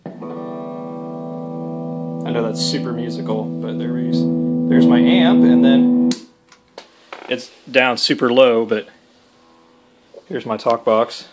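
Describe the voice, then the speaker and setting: A man's voice: mid-range voice, takes it slowly, pauses often, over music. A man talking casually through a hands-on tutorial, unscripted and lightly self-deprecating. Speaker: DIY video maker demonstrating an amp modification.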